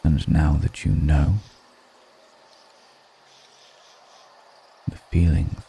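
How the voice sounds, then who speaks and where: A man playing a brooding, melancholic undead vampire in an intimate ASMR roleplay, speaking in a measured, poetic murmur with pauses between phrases. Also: level tone, slight background noise, exaggerated voice. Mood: intimate, reassuring. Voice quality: very deep, dark, creaky murmur.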